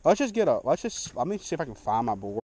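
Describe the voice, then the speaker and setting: A man's voice: medium pitch, big pitch swings, fast, darkish tone, slight background noise. A young man, chatting casually and joking at himself while fishing from a small boat on a lake, picked up by an action camera mic. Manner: laid-back, self-deprecating banter. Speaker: fishing vlogger. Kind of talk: casual outdoor fishing narration.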